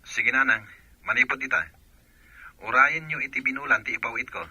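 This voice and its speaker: A man's voice: mid-range voice, brisk, bright, forceful tone, husky, dry recording, dull audio. A man playing a jealous, accusing soldier husband in a radio drama, with a Filipino accent. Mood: complaining.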